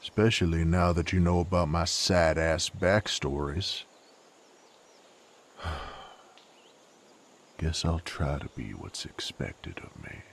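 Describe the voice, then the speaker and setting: A male actor playing a brooding, rough knight with a violent past in an audio roleplay, speaking wearily in folksy, rough diction. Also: takes it slowly, long pauses, a little hiss or hum, full character voice. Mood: weary, resigned. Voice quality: very low, gravelly. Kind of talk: dramatic character monologue, audio roleplay.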